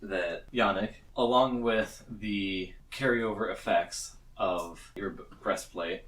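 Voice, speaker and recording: A man's voice: on the low side, takes it slowly, fairly bright tone, recorded from a distance, rough recording. A man acting as game master, explaining rules in a casual tabletop game.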